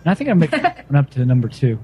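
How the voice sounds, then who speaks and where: A man's voice: on the high side, a little fast, soft background music. A man in casual conversation, talking easily with some hesitation about holiday traditions abroad. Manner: relaxed, hesitant, sings a folk tune briefly. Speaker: guest sharing Christmas songs. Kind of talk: casual chat.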